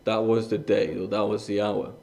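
A man's voice: on the low side, level tone, moderate pace, a little mellow. A young man from Iran speaking as a guest preacher, sharing a personal testimony in an earnest, conversational way with frequent fillers and restarts.

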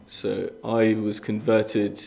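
A man's voice: on the low side, completely flat delivery, slow pace, creaky, mellow. A young man from Iran speaking as a guest preacher, sharing a personal testimony in an earnest, conversational way with frequent fillers and restarts.

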